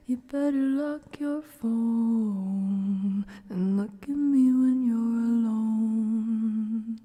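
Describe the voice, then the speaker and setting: Soft, high-voiced woman, very unhurried. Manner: soft, gentle, drifting between talk and singing. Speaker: live streamer. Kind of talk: ASMR livestream, casual chat and soft singing.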